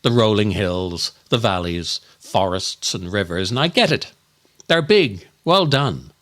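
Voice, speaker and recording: A man delivering a scripted comic monologue as a podcast host, in a grumpy, exasperated, mock-appalled and deliberate way. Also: fairly low pitch, animated, a bit bright.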